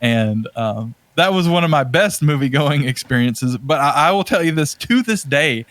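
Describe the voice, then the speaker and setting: A man's voice: on the high side, lively intonation, moderate pace, fairly bright tone, no room sound. A man chatting casually as a podcast co-host, telling anecdotes in slangy, emphatic, exaggerated language. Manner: informal slangy speech, emphatic and hyperbolic.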